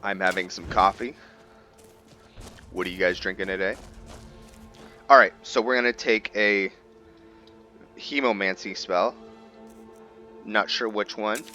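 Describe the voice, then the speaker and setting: A man's voice: lowish voice, steady tone, a little slow, long pauses, sharp tone, soft background music. A man giving casual roleplay game commentary, rambling and thinking aloud to viewers as he plays. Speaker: gaming video host.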